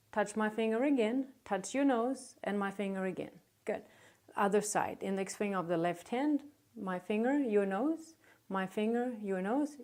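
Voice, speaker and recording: A woman conducting a medical exam, giving calm, polite step-by-step instructions with short acknowledgements. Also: medium pitch.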